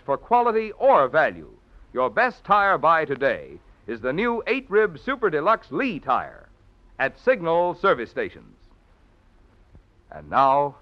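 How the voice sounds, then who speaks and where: A man working as a 1940s radio announcer, delivering sponsor messages in a polished, emphatic sales style. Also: fairly high pitch. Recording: dull old radio recording, faint music.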